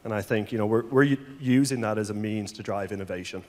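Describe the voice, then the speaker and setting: A middle-aged Irish man with a fairly low voice, speaking in a relaxed, conversational way into a handheld microphone. The sound carries a long hall reverb. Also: fast. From Ireland. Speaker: panelist, senior director. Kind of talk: conference panel introduction.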